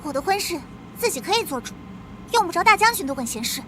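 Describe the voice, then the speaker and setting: An actress voicing a spirited young noblewoman in an animated drama, willful and clingy in turn. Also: very high voice, fast. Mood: pleading. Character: spirited young noblewoman, devoted to her brother. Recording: background noise.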